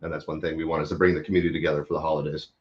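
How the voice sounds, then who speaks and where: A man's voice: extremely low pitch, little pitch movement, very fast. A man speaking casually as a theater company guest in an interview, heard through dull live stream audio.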